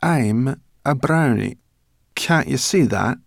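A man reading a storybook aloud, voicing a cross, suspicious female brownie girl in a raised, sharp voice. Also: mid-range voice, lively intonation, slow pace, gravelly, dry recording, studio quality, pitched above the performer's own voice, full character voice. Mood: impatient. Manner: sharp, impatient, snappish.

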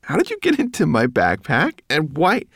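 A man's voice: low-pitched, moderate pace, gravelly, dry recording, studio quality. A man voicing a kind, sensible young boy who speaks plainly, earnestly and protectively in a storybook. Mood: baffled.

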